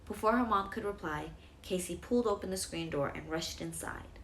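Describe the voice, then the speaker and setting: A woman's voice: medium pitch, normal speed, slight background noise, poor audio. A woman narrating a children's bedtime story as a storyteller.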